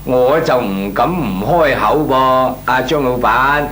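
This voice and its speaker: A man's voice: medium pitch, slow pace, fairly bright tone, a little hiss or hum. A male actor playing a nervous but cheeky employee in a comic radio drama, stammering and deferential yet pompous in argument, with a Hong Kong accent. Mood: cheeky insistence.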